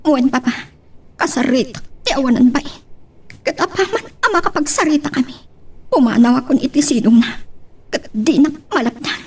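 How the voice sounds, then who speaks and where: High-voiced wronged wife, acted by a woman. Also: fairly quick, husky. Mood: firm, upset. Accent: Ilocano. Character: hurt, betrayed wife determined to leave her husband. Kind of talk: Ilocano radio-style love drama acting.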